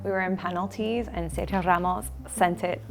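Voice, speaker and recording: A woman's voice: on the low side, steady tone, normal speed, gravelly, noisy background. A woman from New York talking casually as a contestant in a group game. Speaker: contestant in a fan panel game.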